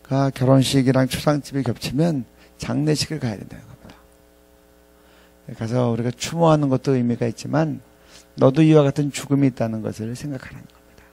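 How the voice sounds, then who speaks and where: Gravelly, mellow middle-aged male preacher. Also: medium pitch. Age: 50s. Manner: halting, with frequent pauses and repeated phrases. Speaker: professor preaching at a ministry staff chapel. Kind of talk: reflective sermon with scripture readings.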